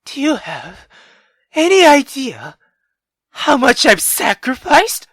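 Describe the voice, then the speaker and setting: A woman's voice: high voice, huge pitch swings, takes it slowly, no room sound, voice pushed up, heavily acted. A woman voicing a furious, cruel, bitter mother in a visual novel, scolding and menacing as she rants. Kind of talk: dramatic visual novel reading.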